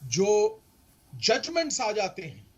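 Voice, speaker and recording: A man's voice: high-pitched, huge pitch swings, takes it slowly, darkish tone, slightly rough, highs cut off. A man, explaining legal points emphatically as a panelist and mixing in English terms. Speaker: legal expert panelist on a debate show.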